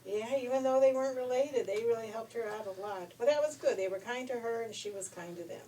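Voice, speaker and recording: A woman's voice: fairly high pitch, brisk, mellow. Folksy woman storyteller, distant noisy recording. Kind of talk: animated children's story reading.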